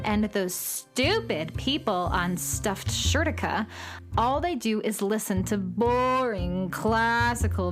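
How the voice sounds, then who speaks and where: Bratty space villainess, scornful, slow drawn-out emphatic delivery, children's radio play, music behind. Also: female voice, mid-range voice. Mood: scornful, boastful. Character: petulant, haughty female space troublemaker, obsessive band fan. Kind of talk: children's audio drama acting.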